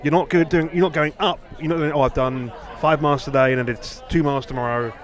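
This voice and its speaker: A man's voice: on the high side, fairly even intonation, quick pace. Rambling Englishman chatting over crowd murmur. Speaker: podcast host. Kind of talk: casual two-person chat.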